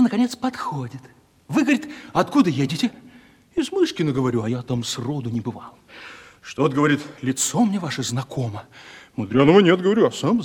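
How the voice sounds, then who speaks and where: Radio play lovesick provincial merchant's son, young male, folksy and browbeaten, rough-edged voice in a reverberant studio. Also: medium pitch. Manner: folksy provincial merchant speech, animated storytelling. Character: lovelorn young merchant's son, browbeaten, gloomy yet boastful. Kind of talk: 1970s Russian radio play stage acting.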